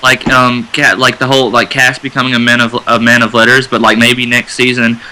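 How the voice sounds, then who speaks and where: Bright-voiced man in casual fan chat. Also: mid-range voice, level tone, brisk, a little hiss or hum, low-quality recording. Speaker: radio show panelist.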